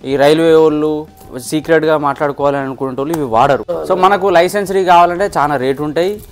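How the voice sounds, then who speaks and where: A man's voice: on the high side, fast talker, faint music behind. A man with a Telangana Telugu accent chatting casually as a vlogger, explaining step by step with examples to his friends. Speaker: vlogger demonstrating a gadget.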